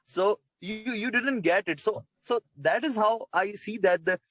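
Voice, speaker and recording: A man's voice: high-pitched, measured pace, fairly bright tone, no room sound. A man from Pakistan with a Pakistani accent and Urdu as his native language, interviewing informally with hesitant, repetitive, wordy questions.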